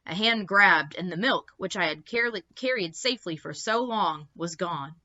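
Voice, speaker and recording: A woman reading a children's storybook aloud in an expressive narrating style. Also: on the low side, moderate pace, lo-fi sound.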